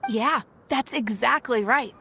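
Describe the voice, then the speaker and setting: A friendly female game character, with a fairly high voice. She talks in a casual, encouraging way, contented and easygoing, over faint background music. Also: measured pace, vocal fry, sharp tone, slightly rough, exaggerated voice. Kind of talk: video game voice acting.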